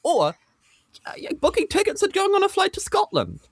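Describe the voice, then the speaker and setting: A man's voice: extremely high pitch, wildly swooping pitch, fairly quick, no room sound. A man chatting casually as a podcast player, joking in a wry, dry-humoured way. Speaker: podcast cast member and player. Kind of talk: casual chat.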